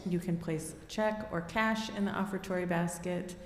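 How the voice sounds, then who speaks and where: A woman reading offertory announcements at a worship service in a steady, read-aloud way. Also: lowish voice, moderate pace, a little hiss or hum. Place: church sanctuary. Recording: long hall reverb.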